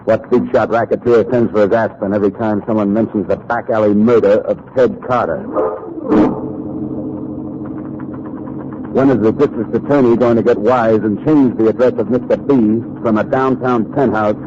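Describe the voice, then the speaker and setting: An actor playing a hard-boiled, wisecracking newspaper columnist in 1940s radio noir, speaking tersely and toughly. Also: male voice, fairly low pitch, steady tone, brisk, few pauses, close to an everyday voice. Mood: crusading. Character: hard-boiled, defiant male newspaper columnist narrating his story. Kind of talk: 1940s radio noir acting and first-person narration. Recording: noisy, muffled 1940s radio recording.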